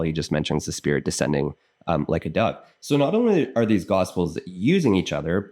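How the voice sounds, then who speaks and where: A man's voice: low-pitched, a little mellow. A Canadian man in his thirties, a biblical scholar, talking earnestly to camera and thinking aloud as he explains. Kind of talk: casual explanatory commentary to camera.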